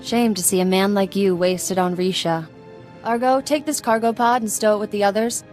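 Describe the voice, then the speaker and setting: A woman's voice: mid-range voice, fairly even intonation, normal speed, darkish tone, soft background music, close to an everyday voice. A woman playing a streetwise, confident smuggler in a video game, teasing and sardonic. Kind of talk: video game character acting.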